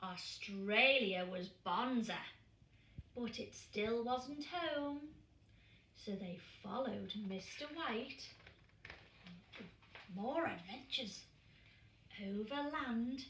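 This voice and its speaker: A woman primary school teacher reading a picture book aloud to young children, expressive and asking them questions. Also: fairly high pitch, extremely slow, long pauses. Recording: echoey room, distant mic.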